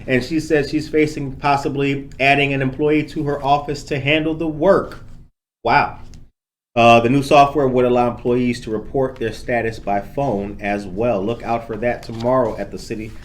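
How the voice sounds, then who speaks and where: A man hosting a local podcast, moving between reading news and casual interviewing in a halting, conversational, friendly way. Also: mid-range voice, steady tone. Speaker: podcast host.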